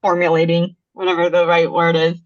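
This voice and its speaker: A young woman from Los Angeles talks as a podcast guest in a loose, chatty way. Her voice sits on the low side and is filled with ums and likes. The recording sounds dull and muffled. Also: monotone, brisk. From Los Angeles, USA. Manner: storytelling, frequent ums and likes, laughs easily. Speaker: podcast guest, brand founder. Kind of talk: casual interview chat.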